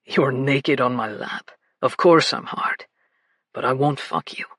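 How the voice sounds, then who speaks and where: A woman voicing a commanding, possessive grown man who speaks as a low, coaxing murmur. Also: unhurried, breathy edge, dry recording, studio quality, exaggerated voice.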